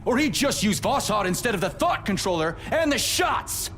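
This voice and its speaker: A hot-headed army major in a video game. He is brash and sarcastic, slightly high in pitch with lively swings. Music is clearly heard behind him. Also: moderate pace, full character voice. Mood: accusing. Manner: brash, exasperated, blunt.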